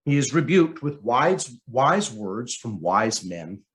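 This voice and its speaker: Expressive man reading with big pitch swings. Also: takes it slowly. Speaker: reader of written commentary. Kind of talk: scripted narration, reading an essay aloud.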